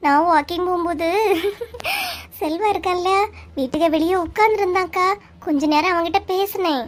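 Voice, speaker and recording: A woman's voice: very high voice, expressive, normal speed. A woman voicing a gossipy, inquisitive cartoon village woman who speaks chatty Kanyakumari Tamil. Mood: gossipy, complaining. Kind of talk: cartoon comedy dialogue.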